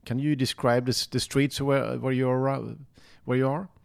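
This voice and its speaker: Halting male talk host. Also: medium pitch, level tone, gravelly, clean tone. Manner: halting, many restarts. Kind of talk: casual interview.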